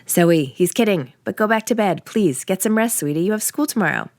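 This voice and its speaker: A woman's voice: medium pitch, lively intonation, a little fast, a little mellow, no echo, clean studio sound, pitched above the performer's own voice, close to an everyday voice. A woman reads as a tired middle-aged mother in a novel. She sounds exasperated and sarcastic, placating her family with worn patience.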